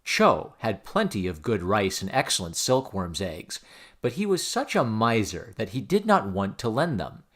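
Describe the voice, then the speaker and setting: A man reading a fairy tale aloud as an expressive, theatrical storybook narration. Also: on the low side, normal speed. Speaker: storyteller, reader of fairy tales.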